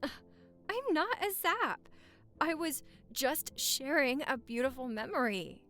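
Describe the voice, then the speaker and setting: A woman's voice: high voice, sing-song, slow pace, sharp tone, soft background music, heavily acted. A woman acting a playful, lovestruck newlywed wife in a romantic audio roleplay, teasing and flirting in intimate, easily flustered banter. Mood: mock offended.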